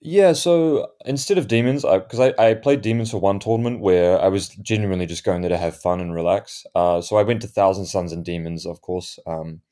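Male guest, low mellow voice, a bit croaky, thoughtful and halting. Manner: thoughtful, with many fillers and restarts. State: slightly croaky voice. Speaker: guest, tournament winner. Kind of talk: podcast interview.

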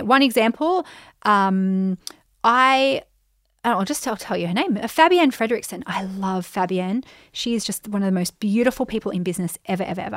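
Australian woman, 30s, podcast host, chatty, slightly croaky, dry close sound. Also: medium pitch, no echo. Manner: chatty, animated, frequent "um" and "like". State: voice getting croaky. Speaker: podcast host, business coach. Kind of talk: casual solo podcast, answering listener questions.

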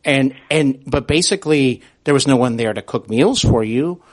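Halting male podcast interviewer. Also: medium pitch, animated. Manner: hesitant, halting phrasing with repeated starts. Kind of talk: conversational interview.

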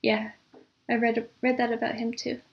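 Female interview guest with a slightly high voice, a creative type chatting informally and conversationally. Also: little pitch movement, normal speed, vocal fry, dull audio. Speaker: interview guest, composer and audio drama producer. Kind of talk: casual interview chat.

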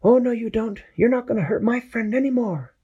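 A man doing an eager high boyish crewman. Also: dark tone, husky, lo-fi sound, exaggerated voice. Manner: eager, loyal, quick replies. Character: brave boyish crew member with medicine gun.

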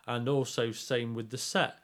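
A man's voice: medium pitch, level tone, unhurried, fairly bright tone. A man co-hosting a podcast, giving a casual review in a rambling, explanatory way and often repeating himself. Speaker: podcast co-host reviewing theatre.

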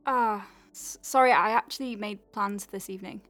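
A woman's voice: medium pitch, normal speed, a bit bright, dry recording, close to an everyday voice. Woman acting as wry, hesitant young-sounding space doctor, dry sound. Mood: awkward, evasive. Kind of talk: naturalistic audio drama acting.

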